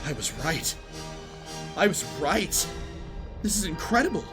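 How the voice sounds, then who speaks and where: A man's voice: fairly high pitch, sing-song, measured pace, music in the background. An actor playing a middle-aged former writer and estranged father, eager and evasive, in an audio drama. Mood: excited.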